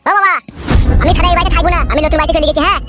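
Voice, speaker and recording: A man's voice: very high-pitched, steady tone, racing, over music. A man voicing a brash, complaining, foul-mouthed young son-in-law in a comedy cartoon. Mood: whining complaint. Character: cheeky, whining, squeaky young husband and son-in-law.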